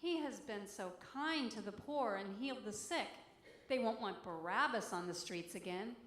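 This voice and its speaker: A woman's voice: mid-range voice, expressive, normal speed, fairly bright tone, close to an everyday voice. A woman playing an anxious council member from biblical times, giving an earnest, reflective dramatic monologue. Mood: uneasy. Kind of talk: dramatic monologue reading in church. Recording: echoey church, music behind.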